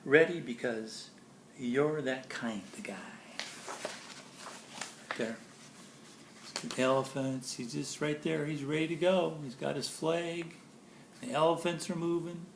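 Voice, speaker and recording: Slow-paced man reading a picture book aloud. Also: fairly high pitch, steady tone, gravelly. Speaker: storybook reader. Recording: roomy echo, faint background noise.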